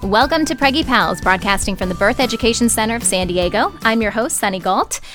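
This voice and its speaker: A woman's voice: fairly high pitch, animated, a little fast, a bit bright, soft background music. A woman hosting a pregnancy podcast from a studio, bubbly and chatty. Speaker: podcast host.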